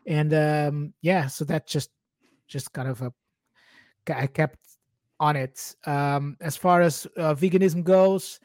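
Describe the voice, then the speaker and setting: A man's voice: on the high side, steady tone, takes it slowly, dry recording, clean studio sound. A man in his forties from Portugal, a native Portuguese speaker, chatting informally and in a rambling way as a podcast guest. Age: 40s. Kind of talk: casual personal chat.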